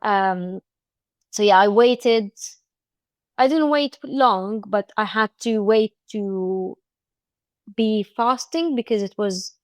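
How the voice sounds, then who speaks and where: A woman's voice: medium pitch, takes it slowly, pauses often, dry recording. A woman from Egypt with an Egyptian accent, a podcast guest speaking in casual interview chat with frequent restarts and fillers.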